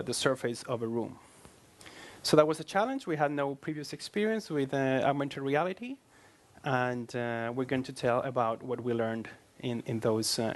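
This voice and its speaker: A man with a Spanish accent giving a relaxed, joking presentation at a tech meetup, with many filler sounds. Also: mid-range voice. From Spain.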